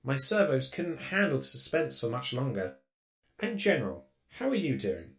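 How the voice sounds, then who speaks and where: A man plays a polite, fretful robot servant in a sci-fi roleplay. The voice swings up and down with a creaky edge, always formal and deferential. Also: medium pitch, sing-song, moderate pace. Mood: relieved, eager. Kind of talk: scripted fan audio roleplay.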